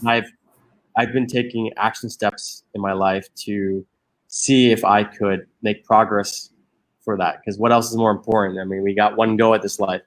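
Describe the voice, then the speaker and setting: A man's voice: lowish voice, level tone, normal speed, low-quality recording. A man in his forties from Egypt, a guest talking earnestly in an interview, restarting his sentences often. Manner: earnest, many restarts and fillers. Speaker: guest, social entrepreneur. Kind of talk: reflective interview conversation.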